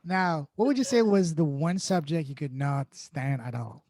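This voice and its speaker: A man's voice: a little high, moderate pace, a little mellow. A man from France with a French accent, hosting a podcast and encouraging his guest with short reactions. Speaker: podcast host. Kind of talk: interview questions.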